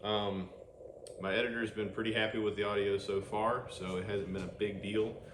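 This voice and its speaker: A man's voice: lowish voice, flat delivery, moderate pace. A man, hosting a casual workshop vlog and chatting while he works on an engine in an outdoor garage. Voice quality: loud voice. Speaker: channel host. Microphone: camera microphone, no external mic. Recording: roomy, noisy workshop ambience.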